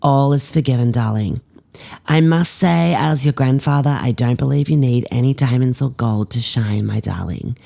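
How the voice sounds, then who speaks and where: Woman reading as a low, creaky doting old grandfather. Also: moderate pace, mellow, heavily acted. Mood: fond, forgiving. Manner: fond, old-fashioned phrasing. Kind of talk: letter read aloud.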